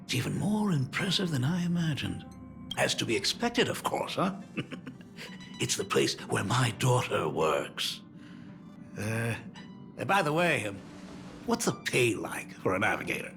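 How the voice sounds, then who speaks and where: A doting middle-aged father, bumbling and apologetic, with a gravelly edge to his voice. His pitch swings widely as he hesitates and fumbles for words. Game music plays underneath. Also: big pitch swings, moderate pace. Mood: curious. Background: game soundtrack music.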